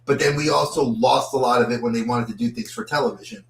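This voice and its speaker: A man's voice: mid-range voice, fairly even intonation, fast, creaky. A man co-hosting a podcast, chatting casually about movies and often repeating words as he starts a thought. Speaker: podcast co-host. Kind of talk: casual movie-review chat. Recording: roomy echo.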